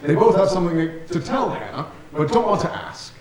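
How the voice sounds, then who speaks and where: A man's voice: high voice, big pitch swings, a little fast, over a noisy crowd. Male stage-directions reader, scripted narration, distant and reverberant, audience audible. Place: theatre stage. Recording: echoey theatre, distant mic, audience sounds.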